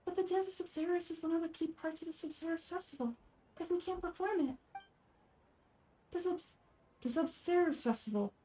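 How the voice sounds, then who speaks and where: A woman voicing a sweet, earnest young female dancer in a fantasy game, with a soft, light, pleading voice. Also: very high-pitched, brisk, long pauses, slight background noise, voice pushed up, low-quality recording. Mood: worried.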